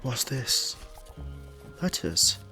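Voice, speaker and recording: A man's voice: drawn-out words, over music. A man playing a polite, earnest younger police detective in an audio drama, with a casual, questioning manner. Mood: polite, curious.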